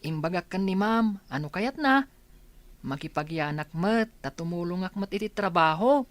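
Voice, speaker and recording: A woman's voice: on the low side, expressive, normal speed, dry recording, natural voice. A woman playing a young village schoolboy in a radio comedy-drama, an earnest, respectful and questioning son.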